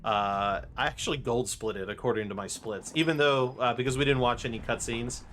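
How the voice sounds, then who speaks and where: A man's voice: mid-range voice, a little fast, fairly bright tone. A man giving informal, jokey live commentary on his speedrun as he plays. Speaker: speedrunner commentating his own game run. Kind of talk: casual live stream game commentary. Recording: game music behind the voice. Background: video game music.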